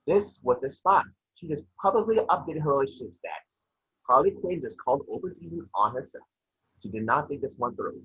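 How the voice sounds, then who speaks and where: A man's voice: a little high, animated, brisk, fairly bright tone, slightly rough, exaggerated voice. A man playing a blunt, world-weary police detective in an amateur table read over a video call. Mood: grimly intrigued. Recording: muffled video-call audio.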